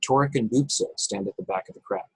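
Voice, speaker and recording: A man's voice: on the low side, fast talker, husky, dry recording, poor audio. A man narrating stage directions from a script at a table read over a video call. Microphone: video call, close and dry.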